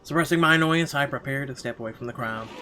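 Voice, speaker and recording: A man's voice: mid-range voice, fast talker, sharp tone, slight background noise. A man narrating a visual novel game as he plays, adding casual, sardonic commentary. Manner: wry, sardonic asides. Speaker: let's player. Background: game music.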